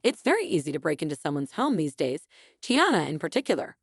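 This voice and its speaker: A woman's voice: low-pitched, big pitch swings, quick pace, creaky, husky, no echo, clean studio sound, full character voice. A woman voicing a smug, vengeful wife in a story, mock-innocent and taunting.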